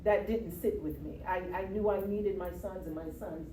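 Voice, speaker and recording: A woman's voice: on the low side, moderate pace. Chatty woman, roomy and far off. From US Virgin Islands. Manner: expressive, laughs easily, repeats "yeah". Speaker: guest. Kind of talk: casual interview. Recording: roomy, from a distance, faint noise.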